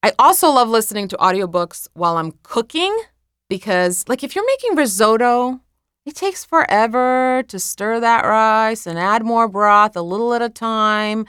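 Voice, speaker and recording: Croatian accent woman, chatty and poking fun at herself, smooth clear voice, dry close mic. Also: on the high side, moderate pace, no echo. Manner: lively, self-mocking, laughs at her own slips. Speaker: author. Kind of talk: casual talk about recording her audiobook.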